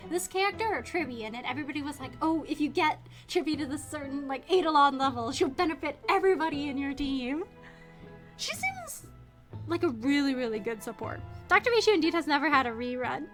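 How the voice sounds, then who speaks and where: A woman livestreaming a video game in casual chat, expressive and exclamatory as she thinks aloud. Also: high voice. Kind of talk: casual gaming stream chat.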